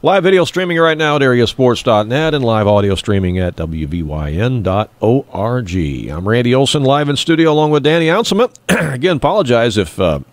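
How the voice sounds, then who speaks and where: Scratchy, congested man hosting lively sports radio. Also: medium pitch, brisk, few pauses, no room sound. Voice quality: scratchy, nasally. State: congested from a lingering cold. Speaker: sports talk radio host. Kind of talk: casual live sports radio chat. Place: radio studio.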